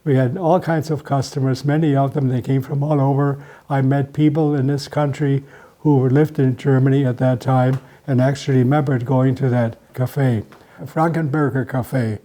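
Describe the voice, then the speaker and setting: Mellow-voiced man reminiscing. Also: medium pitch, fairly even intonation, normal speed. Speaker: interviewee. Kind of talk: recounting family history.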